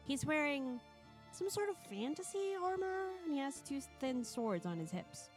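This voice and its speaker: Woman streamer, fairly high voice, narrates a visual novel with casual asides, faint music underneath. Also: sing-song, moderate pace.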